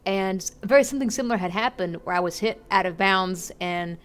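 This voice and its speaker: A woman streaming a game live, chatting excitedly and giggling as she plays. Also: medium pitch, a little fast. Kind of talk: casual live gameplay commentary.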